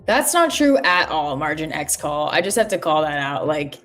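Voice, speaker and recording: A woman's voice: low voice, sing-song, moderate pace, a bit bright. A woman in her thirties co-hosting a live trading stream, chatting casually and answering viewer comments outspokenly. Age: 30s. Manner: outspoken, answers chat directly. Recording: faint music underneath.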